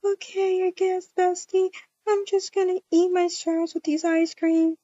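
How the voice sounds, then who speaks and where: Woman acting a squeaky, whimpering heartbroken young woman. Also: monotone, warm tone, no echo, muffled recording, soft background music. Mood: sulky, unsure. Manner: whimpering, drawn-out wailing. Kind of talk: amateur game roleplay.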